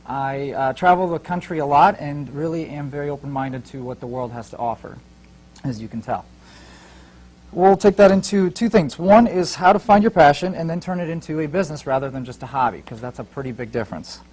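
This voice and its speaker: A young man in his twenties speaks as an entrepreneur in an informal motivational talk. His voice sits a little higher than most men's. He addresses his listeners directly and in plain, casual terms. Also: fairly quick, a little mellow, poor audio. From Ukraine, later Fort Wayne, United States. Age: 20s. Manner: informal, talks directly to the audience.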